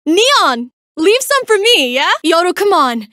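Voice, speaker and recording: A woman's voice: very high voice, sing-song, measured pace, bright, forceful tone, no room sound, clean studio sound, exaggerated voice. A young woman voicing a cocky, playful female fighter for a video game, with breezy, teasing, slangy delivery. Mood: teasing, upbeat. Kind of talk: video game character voice lines.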